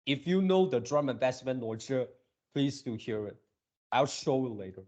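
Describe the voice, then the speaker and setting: A man hosting a reaction video with casual, off-the-cuff commentary, speaking haltingly and repeating his words and phrases. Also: mid-range voice, expressive, a little mellow.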